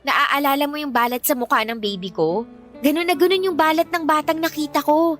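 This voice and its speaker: A woman plays a struggling, wistful shop vendor in a Filipino radio drama. Her voice is notably high and conversational, expressive in every exchange, with light music underneath. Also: fairly quick. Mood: earnest, confiding. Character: Des, poor wistful shawarma vendor, secretly a mother.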